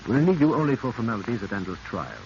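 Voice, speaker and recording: An actor playing a courteous, shrewd foreign official in a 1940s radio drama, with formal and polite phrasing. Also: male voice, mid-range voice, sing-song, quick pace, a little mellow. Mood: commanding. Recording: dull, noisy vintage radio recording.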